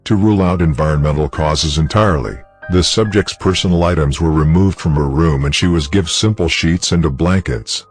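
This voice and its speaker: A man performs a clinical researcher with a deep voice, reading a case log for a horror story. His delivery is formal and detached. Soft music runs underneath. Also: a little fast, hardly any pauses, natural voice. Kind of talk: scripted horror story narration, case report.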